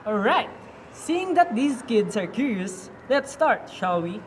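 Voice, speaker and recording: Casting: a woman as an obliging female doctor explaining to kids, higher-than-average pitch, light background noise. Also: big pitch swings, takes it slowly, rough recording. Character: obliging doctor teaching curious children. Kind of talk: scripted educational puppet show.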